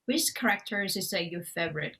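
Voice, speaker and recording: A woman hosting an interview, asking halting questions with frequent fillers. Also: on the low side, animated, gravelly, bright, forceful tone. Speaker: interviewer, host.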